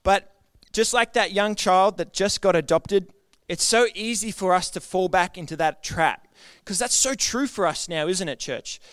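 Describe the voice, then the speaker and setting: A man's voice: high voice, moderate pace, a bit bright, smooth, dry recording. A man preaching a sermon to his church in an earnest, conversational way, often asking the congregation questions. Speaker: pastor.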